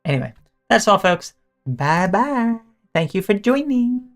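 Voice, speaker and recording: Hoarse woman streamer signing off. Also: medium pitch, very animated, measured pace, faint music behind. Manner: rambling, self-correcting, teasing chat toward viewers. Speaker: live streamer. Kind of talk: casual live-stream chat and sign-off.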